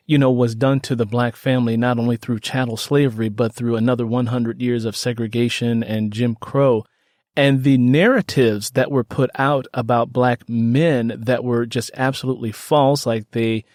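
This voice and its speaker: A man's voice: medium pitch, little pitch movement, moderate pace, a little mellow, dry recording. Earnest American man hosting, close dry sound. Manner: earnest, discursive, with frequent "you know". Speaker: podcast host, interviewer. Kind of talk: reflective interview talk.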